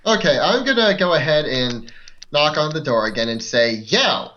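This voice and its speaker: A man's voice: on the high side, lively intonation, moderate pace, bright, forceful tone, muffled recording. A man playing a tabletop game, chatting casually in a hesitant, questioning way.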